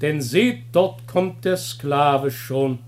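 An actor playing the solemn chorus leader of an ancient Greek comedy, chanting in classical radio drama style over a noisy backing. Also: male voice, on the high side, very slow. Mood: solemn, expectant.

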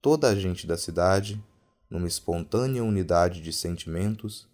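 A man with a Brazilian accent narrating a scripted horror story in a measured, literary reading manner. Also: sits low, lively intonation, a little mellow. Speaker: podcast narrator of a true ghost story. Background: background music.

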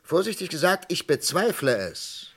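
Urgent, lively-pitched psychiatrist. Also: male voice, slightly rough. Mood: insistent. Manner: urgent, sarcastic, rhetorical, halting. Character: insistent, impassioned male psychiatrist pleading for a condemned man. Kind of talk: radio play acting.

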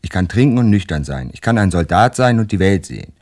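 An actor playing an earthy, stubborn young recruit in a German radio play, blunt and defiant, with a husky voice. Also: male voice, sits low, very animated, quick pace, a little mellow. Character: earthy, stubborn young peasant-minded man, army recruit.

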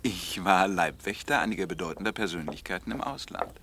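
An actor playing a scheming long-lost uncle, a boastful magician, smooth-talking and condescending, in a 1960s German radio play. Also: male voice, low voice, expressive, fairly quick. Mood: suave, guarded. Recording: noisy 1960 radio play recording.

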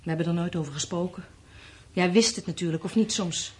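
A woman's voice: fairly low pitch, a little fast, a little mellow, lightly acted. An actress playing a bitter, frightened woman survivor in a radio play, brooding and sarcastic, swinging between dreamy and cutting. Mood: bitter, accusing. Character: bitter, frightened, mocking woman surviving a catastrophe with her partner. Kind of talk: naturalistic radio play acting. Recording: radio play with background ambience.

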